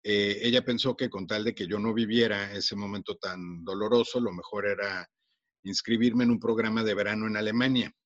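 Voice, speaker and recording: A man's voice: fairly low pitch, steady tone, no room sound, dull audio. A Mexican man in his forties with a Mexican accent, hosting a podcast and telling his own story casually, with many fillers and restarts. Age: 40s. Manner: warm, unhurried, frequent fillers and restarts. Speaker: podcast host.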